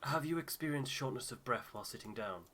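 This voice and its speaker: Man as earnest, restrained expedition doctor, bright voice. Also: mid-range voice, animated. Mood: grave, concerned. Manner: measured, formal, restrained. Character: earnest, discreet male expedition doctor, concerned and careful.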